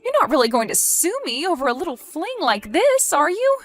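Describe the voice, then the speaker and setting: A woman's voice: high-pitched, sing-song, a little fast. A woman playing a desperate, defensive cheating wife in an acted audio drama, pleading and evasive. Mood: hurt, indignant. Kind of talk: acted audio story dialogue.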